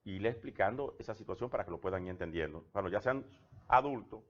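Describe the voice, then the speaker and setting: A man's voice: lowish voice, lively intonation, quick pace, highs cut off. A Dominican man with a Dominican Spanish accent, a guest on a TV interview who tells personal anecdotes with frequent restarts. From Dominican Republic. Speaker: guest, government labor official. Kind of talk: conversational TV interview.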